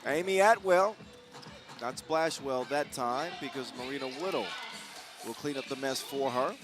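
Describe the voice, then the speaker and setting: A man calling a basketball game play by play, energetic and jokey, getting louder on big plays. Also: mid-range voice, a little slow. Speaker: play-by-play commentator. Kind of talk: live basketball commentary. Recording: music playing behind the commentary.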